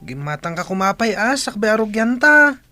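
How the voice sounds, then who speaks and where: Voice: medium pitch, sing-song, normal speed, sharp tone, no echo, soft background music. An actor voicing a brash, indignant schoolboy in a radio drama, whiny and scolding.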